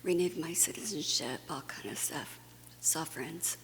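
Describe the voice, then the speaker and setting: A woman's voice: low-pitched, a little slow. A woman from Guatemala giving an earnest, conversational conference talk, with fillers and restarts. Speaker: conference speaker. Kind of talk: plenary talk to a faith audience. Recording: long hall reverb, faint hum.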